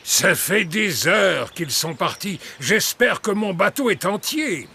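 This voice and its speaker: A man voicing a fussy, anxious old grandfather pig with a gravelly voice and emphatic delivery in a children's cartoon. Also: sits high, very animated, slow, sharp tone, a little hiss or hum, full character voice. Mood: worried.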